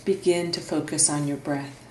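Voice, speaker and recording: A woman guiding a meditation in a soothing, gentle instructional manner, leaving long pauses between phrases. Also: sits low, steady tone. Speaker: meditation guide and therapist. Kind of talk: guided meditation narration. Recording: roomy echo, faint background noise.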